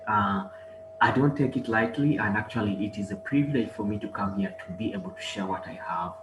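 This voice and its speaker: A man from Uganda, giving a motivational conference talk over a video call, energetic and urging the audience on. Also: fairly low pitch, fairly quick. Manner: energetic, exhorts the audience with repeated calls. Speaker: guest speaker, online marketer and trader. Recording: echoey room with music behind.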